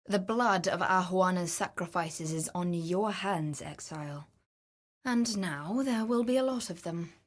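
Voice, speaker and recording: A sardonic fantasy-game adventuress, acted by a woman, speaks at a slow, deliberate pace in a mid-range voice. She is a treasure hunter with an eye for riches, dry and teasing, talking as if to a companion on the expedition. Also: mid-range voice, close to an everyday voice. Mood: scolding, sardonic. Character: wry, greedy female treasure-hunting explorer in a fantasy game. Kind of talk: video game character voice lines.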